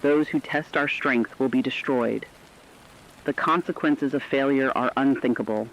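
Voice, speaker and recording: A man's voice: medium pitch, unhurried, a bit bright, slight background noise. A man playing a radio war correspondent, delivering a scripted dramatic news report in a grave, formal, emphatic way. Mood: grave, resolute. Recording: dull lo-fi radio sound, faint rain.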